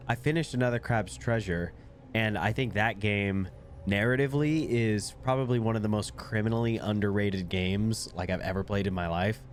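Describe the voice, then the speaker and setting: A man's voice: lowish voice, slight background noise. A young man with a congested, nasal voice, casually commentating while he plays a video game at his desk, rambling with lots of fillers. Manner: laid-back, rambling with fillers. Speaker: gaming video creator.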